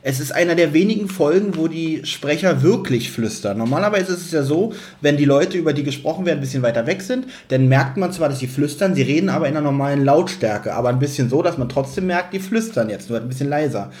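A man's voice: fairly high pitch, animated, fast, hardly any pauses, darkish tone. A man with a German accent chatting casually as a podcast co-host.

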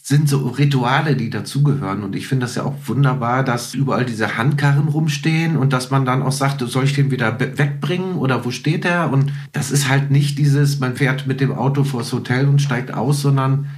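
A man's voice: mid-range voice, moderate pace, few pauses. A middle-aged man as a podcast guest, telling anecdotes casually and acting out little quotes. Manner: informal, conversational, tells stories with acted-out quotes.

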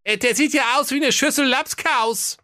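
A man voicing a bumbling, pompous old king who talks in a squeaky, flustered way with lots of ums and ahs. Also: moderate pace, pushed and bright, no room sound, studio quality.

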